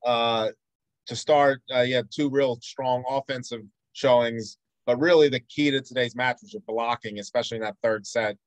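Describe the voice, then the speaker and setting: A man with a medium-pitched voice moderates a press conference. He sounds dry, with no room around him, as he hands out questions. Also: fairly even intonation, normal speed, dry recording, poor audio.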